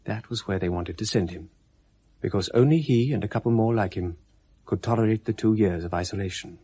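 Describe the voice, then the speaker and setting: A man giving measured, solemn scripted narration for a 1970s radio drama. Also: lowish voice, mellow, a little hiss or hum.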